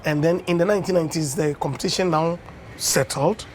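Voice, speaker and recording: A Ghanaian man in his fifties, a former dance champion, tells unhurried stories as a guest on a breakfast TV interview, often adding "you know.". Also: fairly high pitch, a little hiss or hum. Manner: anecdotal storyteller, unhurried with many "you know"s. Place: television studio.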